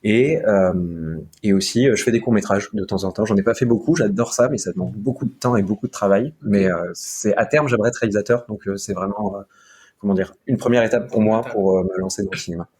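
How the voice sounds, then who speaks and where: A man's voice: fairly low pitch, fast talker, darkish tone, breathy edge. A young man from Marseille talking casually as an interview guest about his video work, rambling with many fillers and restarts. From France, Marseille.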